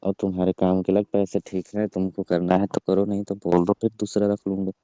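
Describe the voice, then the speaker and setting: Man playing a deep-voiced, smug rich young boss. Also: flat delivery, fairly quick, mellow, no room sound, lightly acted. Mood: pushy. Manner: pushy, dismissive, teasing. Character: brash young rich male employer, smug and suggestive. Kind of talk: staged comic phone call skit, colloquial dialogue. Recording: muffled lo-fi dry phone call recording.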